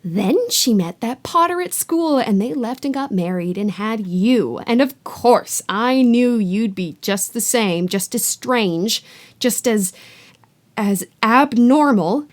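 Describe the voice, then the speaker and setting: A shrill, bitter middle-aged woman who shrieks and rants with years of spite behind it, voiced by a woman reading aloud. Her voice keeps climbing high and loud. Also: lively intonation, takes it slowly. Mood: ranting.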